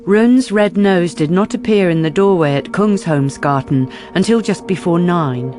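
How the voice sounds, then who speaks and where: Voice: mid-range voice, a little slow, a little mellow, close to an everyday voice. A performer narrating a police thriller in scripted dramatic radio style. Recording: music under the voice. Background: music.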